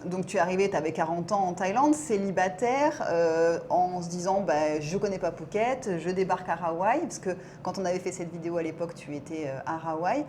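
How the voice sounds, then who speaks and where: A woman's voice: fairly low pitch, brisk, few pauses, a little mellow, slight background noise. A woman hosting her own channel, interviewing a guest in a friendly, chatty way with lots of questions. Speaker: YouTube channel host, interviewer. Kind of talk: casual interview chat.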